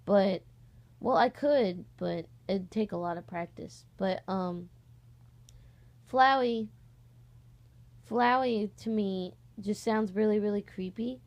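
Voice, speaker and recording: A young woman talks casually to her online followers, rambling a little and often pausing to correct herself. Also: medium pitch, darkish tone. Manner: rambling, hesitant, self-correcting. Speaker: online voice-acting channel host. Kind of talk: casual vlog, audition call.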